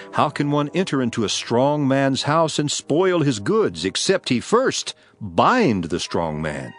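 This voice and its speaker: A man performs a grave male teacher in a scripture drama. His low voice moves animatedly through emphatic, measured preaching, with clear music behind it. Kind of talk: dramatized scripture reading, solemn oratory.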